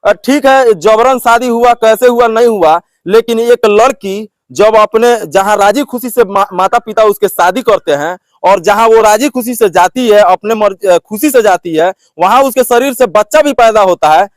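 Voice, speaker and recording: A man hosts an interview and commentary vlog in a notably high voice. The sound is dry, with no room on it. His phrasing is halting and circles back on itself with recurring filler phrases. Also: no room sound. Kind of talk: phone interview and opinion commentary to viewers.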